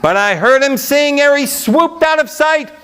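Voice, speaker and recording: A man doing a live storytime read-aloud of comic rhyming verse, with an animated, theatrical delivery. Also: extremely high pitch, measured pace. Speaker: librarian storyteller.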